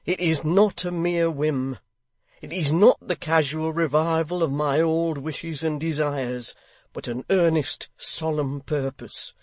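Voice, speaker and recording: A man playing a haunted, urgent gentleman. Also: on the high side, dry recording. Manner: urgent, emotional, formal. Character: troubled, haunted gentleman, middle-aged, intense. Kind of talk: audiobook character voice.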